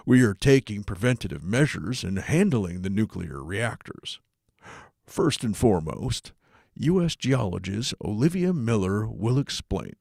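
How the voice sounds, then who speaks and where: A man playing an American president in an audiobook, giving a formal, measured address in a crisis. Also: lowish voice, creaky, darkish tone, no echo, studio quality, full character voice.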